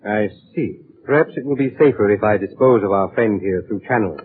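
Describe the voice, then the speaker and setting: An actor playing a shady, scheming fixer in a 1940s radio drama, speaking with precise, formal politeness. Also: male voice, fairly low pitch, brisk, husky. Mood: cautious. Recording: muffled lo-fi 1940s radio recording.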